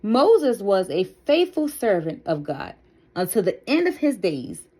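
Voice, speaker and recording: A woman storyteller reads a children's story at a very slow, deliberate pace. Her pitch sits in a middle range for a woman but swings dramatically up and down. She phrases carefully and expressively, as if for young listeners. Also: sing-song, mellow, slightly rough. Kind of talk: read-aloud children's Bible story.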